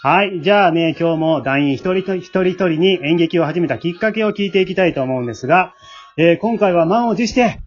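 A man's voice: high-pitched, brisk, muffled recording. A man with a Kansai accent, hosting as leader of a theatre troupe in a casual interview chat.

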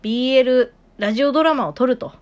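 A woman in her thirties co-hosting a casual chat show, lively and teasing, laughing often, with a tinge. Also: high-pitched, fast talker. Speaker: podcast co-host.

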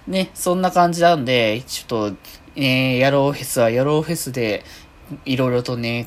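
A woman hosting a casual solo podcast, rambling along and often correcting herself as she goes. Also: very deep voice. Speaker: podcast host. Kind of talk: casual solo free talk.

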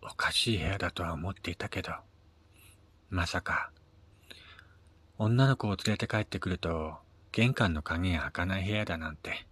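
A man narrating a first-person short story in a measured, dramatic reading with pauses. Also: sits low, fairly bright tone, slightly rough. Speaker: amateur reader of his own short stories.

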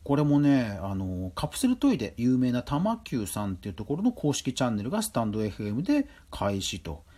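A man's voice: mid-range voice, wildly swooping pitch, a little fast, warm tone. A man hosting a podcast, running through news items and adding his own conversational commentary. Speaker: podcast host. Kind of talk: news roundup commentary, semi-scripted.

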